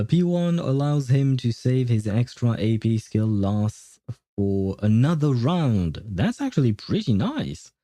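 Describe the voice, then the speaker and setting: A man's voice: mid-range voice, mellow, no echo, clean studio sound. A man chatting casually as a game livestreamer, rambling and hesitant as he thinks aloud.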